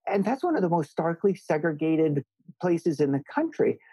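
A man's voice: on the high side, sing-song, moderate pace, dark tone, breathy edge, no echo, poor audio. A man from Hawaii, a law scholar and guest, answering interview questions earnestly and emphatically, often restarting phrases as he thinks, over a video call.

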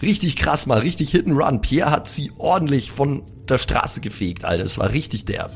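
A man's voice: medium pitch, very animated, normal speed, gravelly, faint music behind. A man playing a brash young superhero buddy in a tabletop game, talking in casual, slangy street talk. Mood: boastful.

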